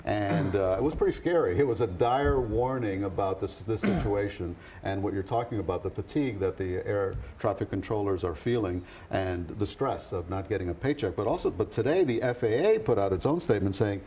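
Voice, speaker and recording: A man's voice: fairly low pitch, expressive, brisk, few pauses, dark tone, slight background noise. A man working as a TV news anchor, reading the news and interviewing in broadcast style.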